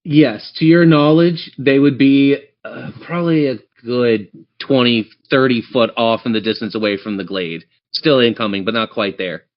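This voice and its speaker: A man's voice: mid-range voice, moderate pace, few pauses, lo-fi sound. A man running a tabletop game as game master, narrating scenes descriptively and speaking straight to his players. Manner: descriptive, addresses players directly.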